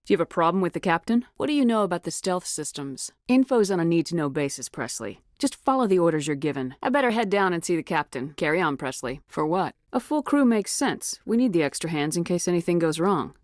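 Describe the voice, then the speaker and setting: A woman playing a tough, steady female military officer in a video game, speaking with firm, clipped authority. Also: lowish voice, a little fast, few pauses, dry recording, studio quality. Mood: firm, authoritative. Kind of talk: video game dialogue acting.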